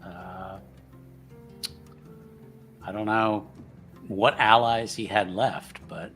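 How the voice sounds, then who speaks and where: A man voicing a polite, tactful bearer of bad news in a tabletop roleplaying game, halting and careful. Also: fairly low pitch, steady tone, fairly bright tone, faint music behind. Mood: halting, grave.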